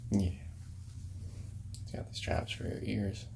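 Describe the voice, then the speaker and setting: A man playing a friendly, laid-back hippie record store clerk in a soft-spoken ASMR role-play, often breathy and whispering, with hesitant, casual small talk. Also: slow, slight background noise, close to an everyday voice. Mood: hesitant, polite.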